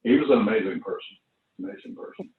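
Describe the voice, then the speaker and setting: An elderly man shares memories in a low voice. He talks as a casual, unhurried storyteller, pausing as he goes. The sound is thin and phone-like, with a roomy echo. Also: animated, over a noisy crowd. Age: 70s or older. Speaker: veteran performer telling stories. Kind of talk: casual reminiscing at an online launch party. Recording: roomy, distant, phone-like internet audio.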